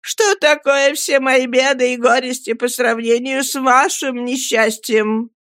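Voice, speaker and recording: Weepy older widow lady in an audiobook, acted by a narrator, really high voice, pleading, mournful. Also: level tone, measured pace, fairly bright tone, no echo, studio quality. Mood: tearful pleading. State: crying. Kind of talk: audiobook character acting.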